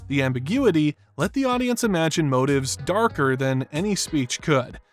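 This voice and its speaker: Male video essayist, somewhat high-pitched, reading scripted commentary over background music. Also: expressive, moderate pace. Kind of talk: scripted narration, film analysis.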